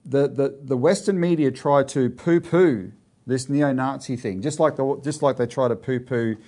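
A man's voice: mid-range voice, moderate pace, dark tone. An Australian man co-hosting a political talk show, discussing current affairs in a conversational way with many restarts. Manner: conversational with frequent fillers and restarts.

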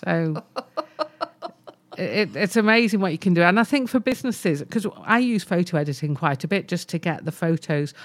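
Enthusiastic podcast co-host. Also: female voice, on the low side, animated, moderate pace. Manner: enthusiastic, thinks aloud with restarts. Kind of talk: casual business podcast chat.

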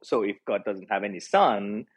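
A man in his forties from Iran with a Persian accent, a pastor telling his personal story earnestly as a podcast guest, pausing often to find his words. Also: lowish voice, expressive, slightly rough. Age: 40s. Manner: earnest and reflective, with frequent hesitations. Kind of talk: personal testimony in an interview.

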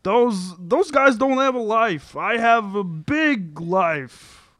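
A man reads the part of an insecure sitcom husband in an amateur table read. His voice is high and bright, whiny and defensive with a stammer, in a dry recording. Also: lively intonation, takes it slowly, no echo, full character voice.

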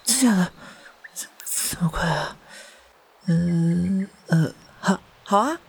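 A man's voice: high voice, takes it slowly, vocal fry, slightly rough, full character voice. A man playing a shy, sweet young male dentist in a romantic audio drama, hesitant and easily flustered. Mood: surprised, shy. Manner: hesitant, stammering, bashful.

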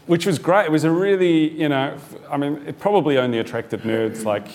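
A man's voice: fairly high pitch, fairly quick, darkish tone, a little hiss or hum. A man with a New Zealand accent answering on a panel, hesitant with many fillers and restarts.

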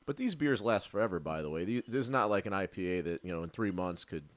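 A man's voice: low voice, animated, fast. A man chatting casually as a podcast co-host, agreeable, often hesitating and repeating himself. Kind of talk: casual chat.

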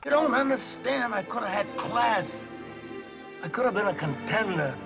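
A bitter, washed-up boxer full of regret, his voice pitched high and pleading. It comes through a dull, muffled old film recording with music underneath. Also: expressive, normal speed. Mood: regretful.

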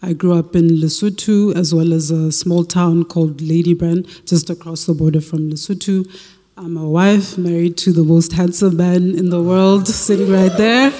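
A woman's voice: on the low side, steady tone, moderate pace, dark tone. A woman from Tanzania introducing herself on a church panel, cheerful and laughing. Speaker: panel guest.

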